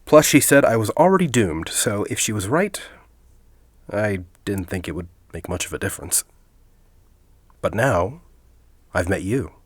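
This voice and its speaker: A man playing a composed, persuasive university headmaster in an audio drama, speaking in a formal, deliberate way. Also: fairly low pitch, expressive, breathy edge, dry recording. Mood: grave, sincere. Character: composed, persuasive university headmaster, grieving a colleague, quietly calculating.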